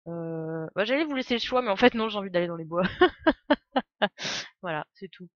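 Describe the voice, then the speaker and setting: A woman's voice: mid-range voice, lively intonation, normal speed, no echo, highs cut off. A woman doing casual, playful, rambling live commentary on a game. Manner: playful, giggly, exclamatory, rambling. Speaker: game streamer.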